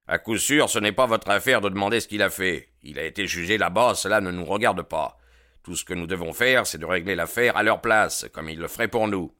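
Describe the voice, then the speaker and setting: A man reading aloud, voicing an authoritative gang boss who gives orders in a commanding, smooth-talking way. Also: low-pitched, a little fast, sharp tone, no echo, studio quality.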